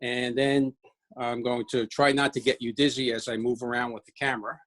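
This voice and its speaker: A man's voice: mid-range voice, little pitch movement, brisk, a bit bright. A man in his sixties with a New York accent, giving a casual, unscripted workshop tour in a hesitant, wryly self-deprecating way. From Brooklyn, New York City. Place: home basement-like workshop, indoors. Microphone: webcam, later handheld phone.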